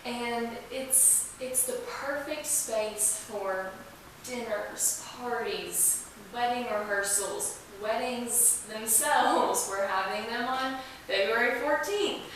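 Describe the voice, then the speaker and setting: A woman's voice: on the high side, takes it slowly. A woman speaking casually as an interview guest, enthusiastic and emphatic about what she describes. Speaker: interview guest, museum representative. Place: indoors in an old museum building. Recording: very echoey room, distant mic, hiss.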